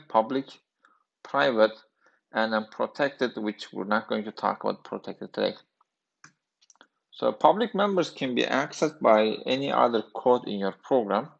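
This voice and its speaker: Brief: man, 30s, gravelly lowish voice, halting instructor talking through code on a dull lo-fi recording. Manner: halting, thinking aloud with fillers. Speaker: programming instructor. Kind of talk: screencast coding lesson.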